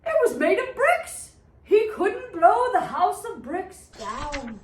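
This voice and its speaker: A woman reading a storybook aloud to preschoolers in a theatrical, expressive teacher's manner. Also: very high-pitched, slow pace, distant mic. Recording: echoey room.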